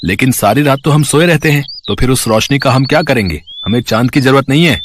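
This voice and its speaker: A man voicing a bossy, complaining village farmer in an animated moral story. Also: medium pitch, fairly quick, slight background noise, natural voice. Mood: dismissive.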